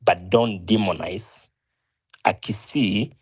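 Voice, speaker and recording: Kenyan male panel guest, husky, earnest, stop-start delivery. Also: lowish voice, steady tone, slow. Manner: earnest, many restarts and self-corrections, rising emphasis when arguing. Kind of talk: opinionated discussion.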